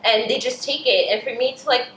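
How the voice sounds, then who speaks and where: A woman's voice: fairly high pitch, fairly even intonation, fairly quick, fairly bright tone. A young woman from Cameroon, a native French speaker, chatting casually and assertively as she trades questions and answers on a vlog. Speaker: vlog host. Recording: roomy echo, clear background noise.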